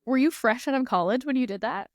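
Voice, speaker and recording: A woman's voice: high-pitched, fast, gravelly, no room sound, studio quality. A woman hosting a podcast, reading the introduction and asking the guest questions in an upbeat, enthusiastic way. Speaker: podcast host.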